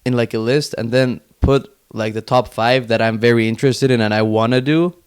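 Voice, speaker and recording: A man's voice: mid-range voice, steady tone, a little fast, clean tone. A man from Finland chatting casually as an interview guest, in informal speech peppered with "like". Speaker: podcast guest, young entrepreneur.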